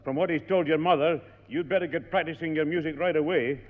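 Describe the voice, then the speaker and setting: A man playing a gentle old grandad. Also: fairly high pitch, steady tone, fast, natural voice. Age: older. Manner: gently scolding, sing-song. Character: kindly Scottish grandfather. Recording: tinny, echoey old radio broadcast.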